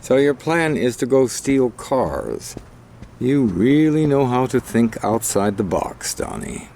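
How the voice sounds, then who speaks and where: An actor playing a sardonic older male crime boss in a video game, with dry, measured, sarcastic speech. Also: mid-range voice, big pitch swings, gravelly, dark tone, slight background noise, exaggerated voice. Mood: haughty, mocking. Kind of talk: video game cutscene acting.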